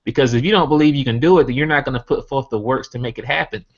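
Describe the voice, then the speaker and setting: A Southern man with an Alabama accent gives a motivational business talk over a hangout, his mid-pitched voice coming through a computer mic. He fires off one rhetorical question after another and circles back to hammer his main points. The audio is dull and lo-fi, like a web call. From Birmingham, Alabama. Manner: rapid-fire rhetorical questions, repeats key points. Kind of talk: online motivational presentation. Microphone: computer mic on a video hangout.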